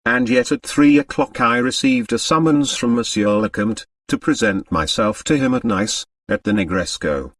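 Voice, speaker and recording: A man's voice: medium pitch, normal speed, hardly any pauses, dry recording, recorded from a distance, natural voice. A man voicing an anxious, formal French manservant in an audiobook, very deferential to his master. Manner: formal, obsequious.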